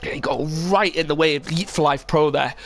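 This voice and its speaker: A young man with a stuffy head cold talks through a sim race on a home stream, loose and rambling with plenty of ums. His voice sits a little high for a man, with a faint hiss of noise behind him. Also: normal speed, fairly bright tone. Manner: casual, rambling with many fillers. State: full of cold, sniffly. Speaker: sim racing commentator. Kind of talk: live stream race commentary.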